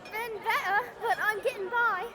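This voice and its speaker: A woman's voice: very high-pitched, fairly even intonation, moderate pace, bright, forceful tone, some chatter behind, slight background noise. A woman playing a plucky, resilient captive girl in an audio drama, stoic and matter-of-fact. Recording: busy outdoor ambience behind.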